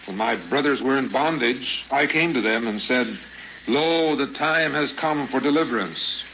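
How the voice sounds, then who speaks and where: An old zealot played by a man speaks with the solemn, biblical phrasing of a gentle but fervent prophet. His medium-pitched voice comes through a muffled, noisy old radio drama recording. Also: normal speed, lightly acted.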